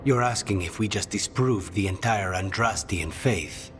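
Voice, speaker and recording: An actor playing a pragmatic adventurer in a fantasy video game, with a sardonic, matter-of-fact manner. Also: male voice, fairly low pitch, unhurried, noisy background, lightly acted. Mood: dry.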